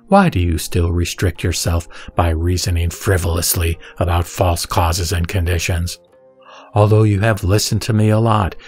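A man voicing a serene, authoritative holy teacher in a scripture audio drama, solemn, didactic and measured. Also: low-pitched, a little mellow, slightly rough.

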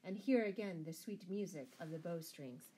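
A woman's voice: fairly low pitch, lively intonation, moderate pace, dark tone, a little hiss or hum, lightly acted, rough recording. A woman reading a storybook and voicing a spirited young male outlaw, a freed prisoner. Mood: grateful.

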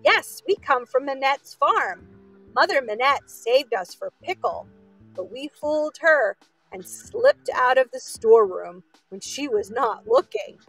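A woman's voice: high voice, sing-song, a little slow, fairly bright tone, husky, soft background music, heavily acted, poor audio. A woman voicing a very stout, friendly talking cabbage from a children's story, hearty and exclaiming. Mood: jolly, boastful.

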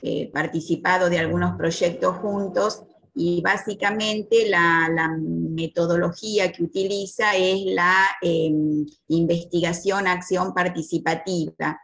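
A woman's voice: on the low side, fairly even intonation, unhurried, gravelly. A woman with an Argentine accent asking a question on a video call, searching for words with fillers. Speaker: conference attendee asking a question.

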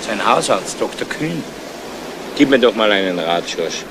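An actor playing a fretful, suspicious insurance agent in a 1970s German crime radio play, talking in an insinuating, emphatic way. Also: male voice, lowish voice, animated, unhurried, background noise. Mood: worried, insistent.

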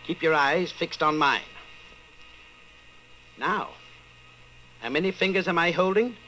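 An actor playing a coldly patient, menacing party inquisitor in old-time radio style, measured, condescending and commanding. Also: male voice, on the high side, bright, forceful tone, poor audio. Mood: calm authority.

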